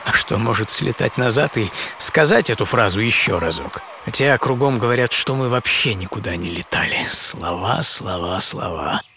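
A man's voice: on the low side, lively intonation, moderate pace, hardly any pauses, slight background noise. A man performing a theatrical, intimate first-person radio monologue as a famous American astronaut looking back on his life. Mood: hushed, reflective. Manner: theatrical, intimate, swinging between eager and hushed. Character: famous American astronaut recalling life from boyhood to quiet old age. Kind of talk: dramatic first-person monologue, audio play. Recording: studio voice over music bed.

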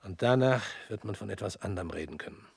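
An actor in a radio drama playing a weary former prosecutor who speaks slowly and drops to near whispers. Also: male voice, fairly low pitch, expressive, creaky, husky, no echo, full character voice. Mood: grave.

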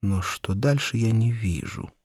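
A man narrating a literary children's story in the first person, with an expressive, measured, acted delivery and a husky voice. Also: fairly low pitch, no room sound. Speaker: audiobook narrator.

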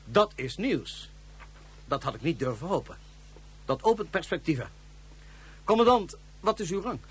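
A haughty, scheming space-age ruler. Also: male voice, a little high, big pitch swings, normal speed, slightly rough, exaggerated voice. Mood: pleased, commanding. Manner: imperious, curt, calculating. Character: haughty, scheming fugitive ruler of a Martian realm. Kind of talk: science fiction radio play acting.

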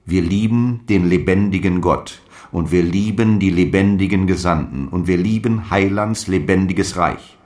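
An actor playing a zealous apostle in a radio drama, declaiming solemn, archaic prophecies and defiant last letters. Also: male voice, sits low, normal speed, few pauses, a little mellow, natural voice. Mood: grave, devout. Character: fiery apostle dictating zealous letters, defiant before his death.

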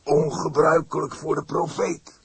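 Fervent, declaiming holy man, pitched high. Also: completely flat delivery, a little slow, breathy edge, dry recording, exaggerated voice. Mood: fiery, solemn. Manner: solemn, declamatory, fiery. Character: fervent, pious long-haired religious father, solemn and preachy. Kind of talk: audiobook character acting.

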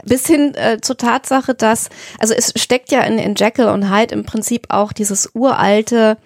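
A woman's voice: a little high, steady tone, a little slow, vocal fry, no echo, studio quality. A woman co-hosting a podcast, explaining things conversationally and hesitantly, thinking aloud in long winding sentences. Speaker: podcast co-host.

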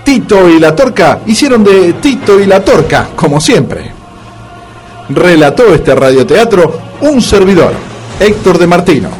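Argentine man, comic radio narrator, theatrical mock-serious reads with lively intonation, faint music underneath. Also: high voice, a little slow. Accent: Argentine Rioplatense. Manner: mock-dramatic. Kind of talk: comic scripted radio narration with closing credits.

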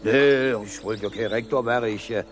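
A man's voice: medium pitch, moderate pace, over music, lightly acted. Man playing easygoing retired schoolman. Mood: relaxed. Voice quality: broad dialect, homely, relaxed. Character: retired male school deputy head, easygoing. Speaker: radio drama character. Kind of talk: radio play acting.

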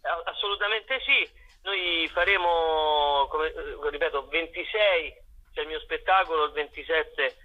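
A man's voice: on the high side, unhurried, dull audio. A man being interviewed casually on radio as an actor and director, playful and ironic, often restarting his phrases.

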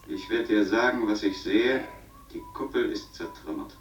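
An actor playing an uneasy, stubborn astronaut who reports tersely and haltingly over an intercom in a German science-fiction radio play. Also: male voice, fairly low pitch, expressive, soft background music. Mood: grave, reporting. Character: uneasy, stubborn astronaut exploring a wrecked asteroid station. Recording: dull, lo-fi radio sound.

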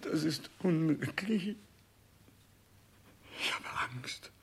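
A man's voice: on the high side, drawn-out words, gravelly, a little hiss or hum, heavily acted. An actor playing a dying old king in a 1960s radio play, terrified, self-pitying and childishly stubborn, wailing and pleading theatrically. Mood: fearful, faint.

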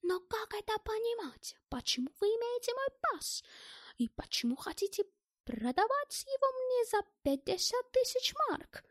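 Женщина озвучивает в аудиокниге пожилого робкого и эмоционального немца, который с немецким акцентом говорит на ломаном языке. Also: female voice, sits high, animated, gravelly, dry recording, clean studio sound, pitched above the performer's own voice. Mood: plaintive, distrustful. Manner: plaintive, emotional, halting foreign phrasing.

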